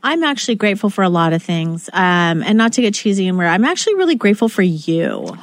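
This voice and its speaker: A woman co-hosting a podcast in casual chat, animated and laughing as she retells family stories. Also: mid-range voice, quick pace, no echo. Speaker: podcast co-host.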